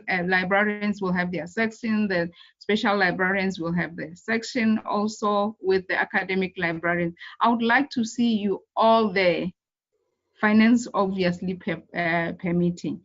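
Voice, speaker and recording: A woman's voice: mid-range voice, lo-fi sound. A woman who organizes an online webinar, making announcements and earnest appeals to the audience before handing over to the speakers.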